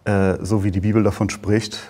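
A man in his early thirties talking about himself in an earnest, measured way. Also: on the low side, flat delivery, mellow, slight background noise. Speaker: trainee pastor. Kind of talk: personal conversation.

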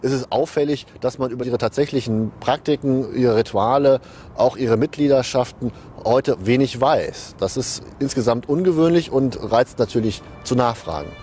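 A man's voice: mid-range voice, normal speed, a little mellow, slight background noise. A man speaking as an expert interviewee, explaining in spontaneous documentary interview commentary.

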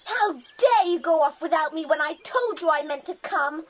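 A hot-tempered, sulky tomboy in a children's audio play. She is blunt and indignant, with a very high voice that swoops about. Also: animated, moderate pace. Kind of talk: children's audio drama acting.

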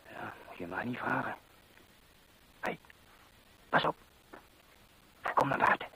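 An actor playing a hesitant, cautious stable hand in a Dutch radio drama, speaking haltingly on a noisy recording. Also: male voice, medium pitch, creaky, pushed and bright. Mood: wary, hushed.

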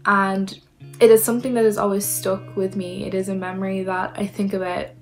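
A young Irish woman recounting a personal memory, reflective and halting with fillers. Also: medium pitch, flat delivery, soft background music. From Ireland. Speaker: interviewee. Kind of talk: personal testimony.

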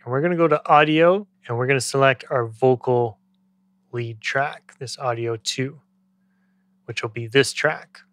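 A man in his thirties giving a conversational, step-by-step software tutorial as an instructor, close to the microphone. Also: medium pitch, unhurried, no echo. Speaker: music production tutorial instructor.

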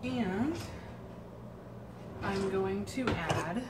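Female home cooking vlogger, mid-pitched, unhurried and hesitant, casual demo delivery, noisy kitchen recording. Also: animated.

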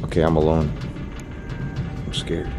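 A man in his thirties hosting a vlog, chatting to the camera and reading off viewer names while exploring a dark abandoned stadium at night. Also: very deep voice, completely flat delivery, unhurried, vocal fry, soft background music. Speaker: YouTube host. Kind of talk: casual vlog narration. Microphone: handheld camera held close.

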